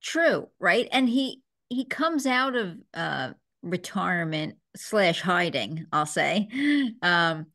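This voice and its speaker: A woman's voice: lowish voice, lively intonation, slow pace, bright, forceful tone. A woman hosting a podcast in a casual, conversational interview style. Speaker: podcast host.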